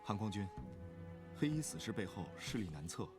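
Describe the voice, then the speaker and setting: An actor playing a polite, measured male clan leader in an animated fantasy drama. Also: on the low side, over music. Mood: courteous. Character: courteous, smooth-mannered male clan leader. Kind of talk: animated drama dub acting.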